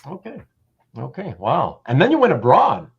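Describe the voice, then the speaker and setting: High-pitched, very animated man hosting. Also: slightly rough. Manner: halting, repeats words and phrases. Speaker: host of a live show. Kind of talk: casual livestream chat. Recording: dull, muffled lo-fi audio.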